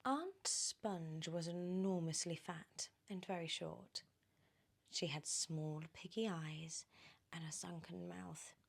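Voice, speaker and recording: A woman with a British accent reading a children's story in ASMR style, animated and often slipping into whispers. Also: on the low side.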